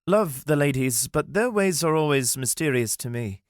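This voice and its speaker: A man putting on an upbeat, excitable young elf. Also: a little high, normal speed, no echo, clean studio sound, pitched above the performer's own voice, close to an everyday voice. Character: cheerful young male elf, playful and excitable.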